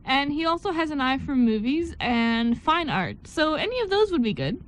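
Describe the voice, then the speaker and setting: A woman's voice: high voice, sing-song, moderate pace. A woman playing a sweet, supportive bride-to-be in an amateur radio play, coaxing and reassuring.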